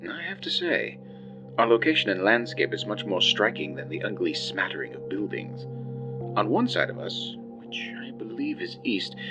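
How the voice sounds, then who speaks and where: An actor playing an ambitious, pompous colony administrator in an audio drama, speaking in a formal, grandiloquent and theatrical way. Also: male voice, low-pitched. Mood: eager, grand. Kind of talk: audio drama message monologue. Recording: dull lo-fi audio under music.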